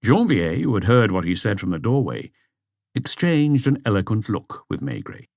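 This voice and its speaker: A man's voice: fairly low pitch, very animated, normal speed, vocal fry, darkish tone, no room sound, clean studio sound. A man reading a mystery novel as scripted audiobook narration.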